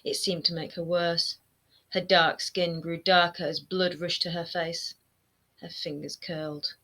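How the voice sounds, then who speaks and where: A woman in her thirties, an author reading her own fantasy novel aloud at home in an expressive storytelling manner. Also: on the low side, unhurried. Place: home room lined with bookshelves. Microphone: webcam framing, seated close to camera.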